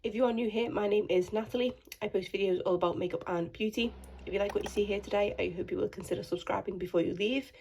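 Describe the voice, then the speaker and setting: Croaky woman chatting to her phone. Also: medium pitch, fast talker, darkish tone. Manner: apologetic, chatty, rambling. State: croaky from a cold. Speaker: beauty vlogger. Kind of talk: casual chat to camera. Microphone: phone held in hand.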